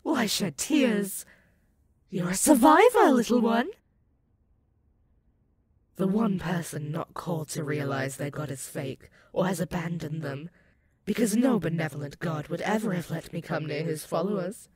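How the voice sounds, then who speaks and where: A woman's voice: on the low side, crowd noise, close to an everyday voice. A woman playing an ancient, sadistic and possessive female werewolf demon in a roleplay audio, purring menace and mocking pet names at the listener. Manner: slow, teasing, purring menace with mocking endearments.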